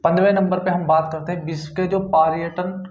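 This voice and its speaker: A man's voice: sits high, little pitch movement, a little fast, a little mellow. A man with a North Indian accent giving an informal exam coaching lecture, repeating points and often adding check-in tags. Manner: repetitive, with frequent check-in tags. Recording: dull, echoey room recording.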